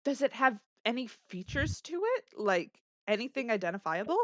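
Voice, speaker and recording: A woman's voice: fairly high pitch, lively intonation, normal speed, no echo, lo-fi sound. Woman gaming casually, dry close sound. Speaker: player in tabletop game. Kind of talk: casual, lively game chat.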